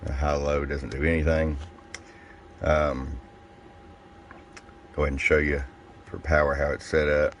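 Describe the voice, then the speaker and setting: Male reviewer, extremely deep pitch, plain-spoken and casual hands-on demo delivery, faint noise behind the voice. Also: little pitch movement, unhurried, long pauses. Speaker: radio seller demonstrating a product.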